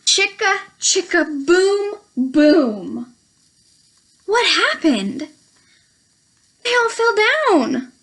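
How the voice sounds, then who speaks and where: A young adult woman presenting a children's storytime, reading a picture book aloud in a slow, sing-song, very animated way, with playful whispers. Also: extremely high pitch.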